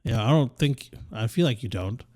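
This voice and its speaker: A man's voice: medium pitch, animated, normal speed, darkish tone. A young man co-hosting a podcast, chatting in a rambling, laid-back way and swearing casually. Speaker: podcast co-host. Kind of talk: casual chat.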